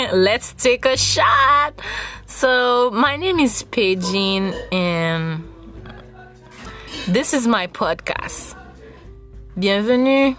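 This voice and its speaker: Young Haitian-accented woman hosting a solo podcast, chatty and giggly, unhurried delivery, faint music underneath. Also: medium pitch, a bit bright. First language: Haitian Creole. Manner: chatty, rambling, with frequent giggles. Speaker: podcast host. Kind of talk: casual solo podcast monologue.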